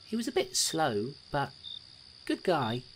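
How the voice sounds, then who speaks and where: A woman's voice: deep voice, wildly swooping pitch, takes it slowly, a little hiss or hum, exaggerated voice. A woman voicing a small clawed creature investigator, telling a grim story in clipped noir style for an audio drama. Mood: tense, regretful. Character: small clawed creature investigator, streetwise, grimly self-deprecating narrator.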